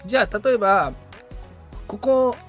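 A man in a casual consultation, asking tentative questions as a beginner and often chiming in with quick acknowledgements. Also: high voice, faint music behind.

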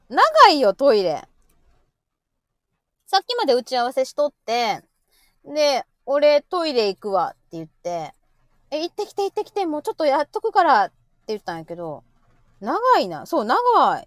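A woman's voice: high-pitched, dry recording, clean studio sound. A woman with a Kansai Japanese accent hosting a live audio show with casual, teasing comic banter. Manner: teasing, chatty.

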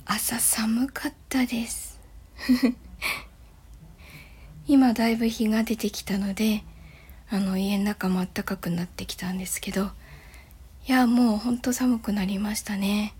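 A woman chatting casually and politely in a soft, breathy voice, with gentle laughs, as the host of a morning audio show. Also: mid-range voice, level tone, measured pace, long pauses. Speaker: voice actor and audio channel host.

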